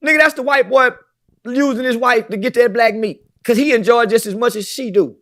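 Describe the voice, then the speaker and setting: Brash boastful man with a very high voice. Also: fairly quick, a bit bright. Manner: brash, boastful, preachy, heavy swearing. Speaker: podcast guest. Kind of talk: boastful podcast conversation.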